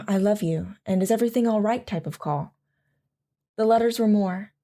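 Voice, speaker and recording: A woman playing a devoted military wife in an audio drama, gently and wistfully recalling life with her husband. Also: fairly high pitch. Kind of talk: audio drama acting, reminiscing narration.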